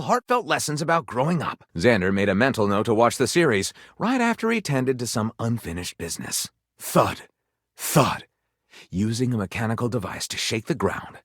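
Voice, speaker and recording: Video game role: flamboyant male pulp-romance narrator, overwrought and theatrical, hugely animated intonation, close dry sound. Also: mid-range voice, no echo. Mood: mock amazement. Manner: theatrical, breathless, overwrought delivery. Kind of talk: dramatic storybook narration.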